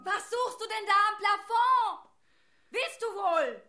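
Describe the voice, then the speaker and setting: Shrill, bossy scold. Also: female voice, animated, takes it slowly, music in the background, heavily acted. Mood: indignant scolding. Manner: commanding, indignant. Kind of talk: German radio play acting.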